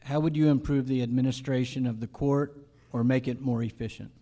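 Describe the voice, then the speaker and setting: Male moderator, medium-pitched mellow voice, formal question reading. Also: fairly even intonation, brisk. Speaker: forum moderator.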